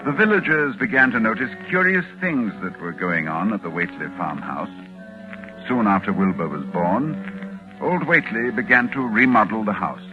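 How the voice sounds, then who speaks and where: An actor playing a grave, learned doctor who narrates in formal, ominous 1940s radio style. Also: male voice, lowish voice, expressive, moderate pace, close to an everyday voice. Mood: ominous, urgent. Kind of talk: 1940s radio acting, dramatic scripted narration. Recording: muffled, narrow vintage 1940s radio, music.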